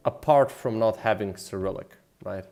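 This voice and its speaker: Low-voiced man, rambling casually. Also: normal speed, creaky, darkish tone. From Slovenia. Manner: rambling, thinking aloud, mildly profane. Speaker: game developer and streamer. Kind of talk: casual livestream chat while working. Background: background music.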